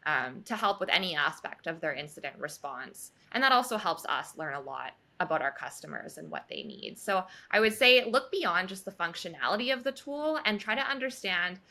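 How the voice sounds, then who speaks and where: Woman interview guest, articulate and upbeat; lively pitch, bright tone. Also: moderate pace, hardly any pauses. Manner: articulate, upbeat, laughs lightly. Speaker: guest, reliability advocate at a software firm. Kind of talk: conversational interview answers.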